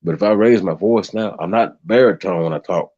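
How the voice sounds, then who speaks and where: A man with a deep bass voice hosting a casual livestream chat, given to preachy rants. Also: brisk, warm tone, highs cut off. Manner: ranting, preachy asides. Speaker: stream host.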